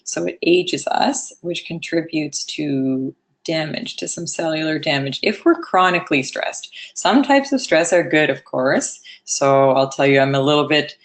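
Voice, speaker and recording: A woman presenting a health webinar in a casual, conversational way, with a low, rather lethargic-sounding voice and frequent ums. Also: animated, measured pace. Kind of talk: educational webinar talk.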